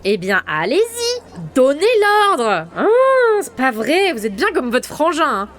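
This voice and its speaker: A woman's voice: high voice, very animated, unhurried, a bit bright, full character voice. A woman playing a sarcastic army sergeant in a comic sci-fi audio drama, wry and colloquial.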